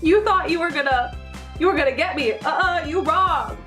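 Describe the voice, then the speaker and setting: A young woman with an American accent chats like a vlogger sharing personal stories. Her voice sits fairly high and her delivery is casual and emphatic, strewn with "like". Soft music runs behind her. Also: moderate pace. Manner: animated, emphatic, peppered with "like". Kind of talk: casual, chatty personal storytelling.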